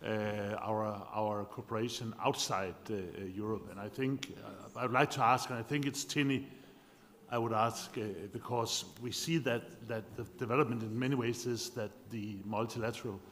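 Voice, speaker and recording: A man asking a question from the floor, halting with many restarts. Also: on the low side, steady tone, fairly bright tone, a little hiss or hum. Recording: reverberant hall, faint ambience.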